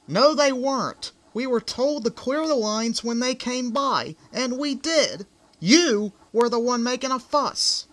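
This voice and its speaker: A man's voice: extremely high pitch, expressive, a little slow, fairly bright tone, exaggerated voice. A man voicing a small, cocky, grumpy steam engine in an amateur cartoon comic dub, boastful and indignant.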